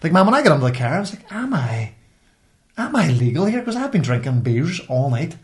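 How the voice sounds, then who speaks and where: A man's voice: on the high side, big pitch swings, a little fast. Northern Irish man in casual sweary chat. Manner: sweary, colloquial anecdotes. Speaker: podcast host.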